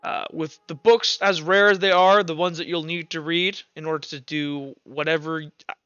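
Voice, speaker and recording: A man's voice: a little high, fairly even intonation, moderate pace, bright, forceful tone, clean tone, no room sound, highs cut off, soft background music. A man voicing a blunt, hard-drinking male professor who swears and rambles with fillers.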